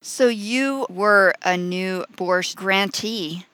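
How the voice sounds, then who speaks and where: A woman interviewer who narrates the introduction and asks questions in a clear, polished, encouraging manner. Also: medium pitch, drawn-out words, a bit bright, no echo.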